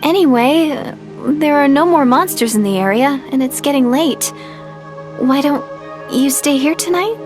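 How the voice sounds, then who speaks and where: A woman's voice: high voice, normal speed, darkish tone, soft background music, lightly acted. A woman playing an earnest, idealistic young village woman setting out as an adventurer in an anime video game. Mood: flustered. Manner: earnest, sincere.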